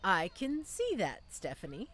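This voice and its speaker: A woman playing a loving, protective mother of a young child in an audio drama, with a gentle, coaxing manner. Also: mid-range voice, wildly swooping pitch, measured pace.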